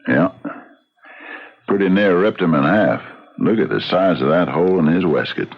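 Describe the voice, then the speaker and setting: An actor playing a folksy, steady Old West sheriff with an American Western accent and a laconic drawl, in a radio drama. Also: male voice, deep voice, moderate pace. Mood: matter-of-fact. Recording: dull radio recording with faint noise.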